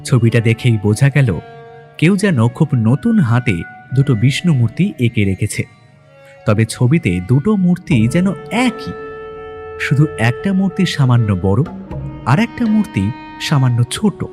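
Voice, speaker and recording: A man's voice: mid-range voice, very animated, a little slow, darkish tone. A man narrating a detective story in the first person, in a dramatic scripted audio-story style. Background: background music.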